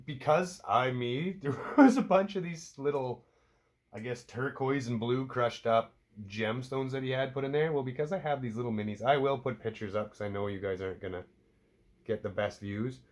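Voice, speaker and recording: A man's voice: medium pitch, normal speed. A man from Canada with a stuffed-up cold, chatting casually and rambling to camera about his hobby, with offhand swearing and self-deprecating asides, recorded indoors at home. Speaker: outdoor hobby vlogger.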